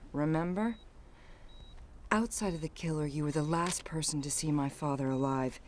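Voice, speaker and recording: An actress playing a poised, vengeful young heiress in a video game, cool and dry in delivery. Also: female voice, lowish voice, darkish tone, slight background noise, natural voice. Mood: cold, determined. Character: poised, sardonic, vengeful young heiress, murdered man's daughter. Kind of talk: video game cutscene acting.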